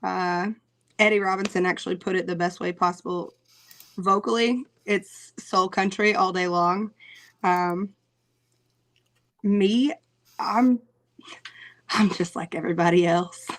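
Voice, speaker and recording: A woman's voice: fairly low pitch, fairly even intonation, slight background noise. Laughing, chatty woman singer. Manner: chatty, laughs often, many "um" pauses. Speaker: singer, interview guest. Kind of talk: casual interview chat.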